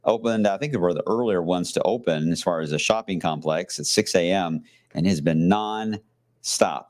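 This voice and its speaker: Low-voiced chatty TV weatherman. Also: moderate pace, darkish tone. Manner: loose, chatty delivery with fillers and jokes. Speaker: TV meteorologist. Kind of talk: live weather forecast on location. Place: outdoors at a shopping outlet.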